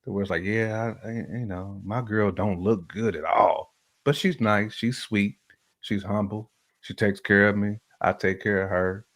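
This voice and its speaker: A man's voice: fairly low pitch, steady tone, unhurried, a bit bright. A man co-hosting a relationship podcast, chatting casually and colloquially with opinionated, slangy phrasing and repeats for emphasis.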